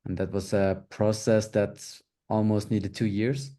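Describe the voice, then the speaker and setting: Low, mellow-voiced man from Switzerland. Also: flat delivery. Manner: measured, plain answers with fillers. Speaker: film producer, guest. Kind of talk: interview answers.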